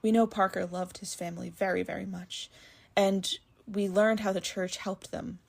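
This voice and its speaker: A woman with a husky, creaky voice, earnestly reading a prepared analytical commentary in measured, articulate phrasing. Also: mid-range voice, fairly even intonation, a little mellow. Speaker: listener sending a recorded commentary. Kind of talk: prepared spoken essay, literary analysis.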